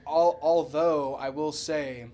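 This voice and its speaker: A man's voice: fairly high pitch, steady tone, slow pace, dark tone, a little hiss or hum. A man co-hosting a podcast, giving opinions in casual, sweary slang and repeating his points for emphasis. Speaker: podcast co-host.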